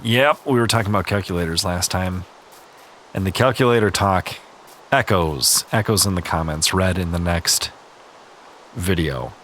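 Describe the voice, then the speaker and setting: A man hosting a relaxed gaming video, chatting calmly and reading viewer comments, a little stuffy-nosed. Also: sits low, normal speed, slight background noise. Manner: calm, easygoing, chatty. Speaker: gaming channel host.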